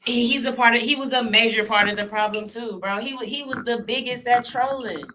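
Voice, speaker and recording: A woman's voice: fairly high pitch, fairly quick, pushed and bright, a little hiss or hum. A woman in her twenties, talking earnestly and thoughtfully as a regular on a casual talk show. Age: 20s. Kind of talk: casual podcast chat.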